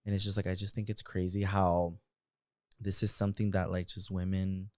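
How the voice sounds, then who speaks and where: Casting: male podcast co-host, mid 20s, low voice, dry sound, slangy casual storyteller. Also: flat delivery, normal speed, a little mellow, no echo. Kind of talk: casual sibling podcast chat.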